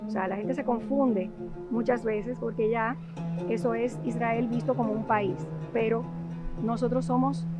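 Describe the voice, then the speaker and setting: Dominican woman, thoughtful and hesitant. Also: a little high. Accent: Dominican Spanish. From Dominican Republic. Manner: thoughtful, often pauses on "eh" while searching for words. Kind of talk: documentary interview. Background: background music.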